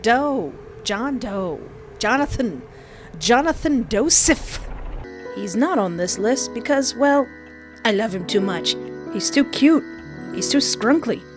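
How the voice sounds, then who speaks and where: A young woman hosting a countdown of game characters, excitable and goofy, often interrupting herself. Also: fairly high pitch, slow, soft background music. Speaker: youtube host.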